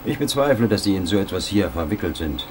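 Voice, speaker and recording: An actor playing a precise professor of digital image manipulation, speaking in a lecturing, matter-of-fact way. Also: male voice, sits low, lively intonation, fast, a little mellow, a little hiss or hum.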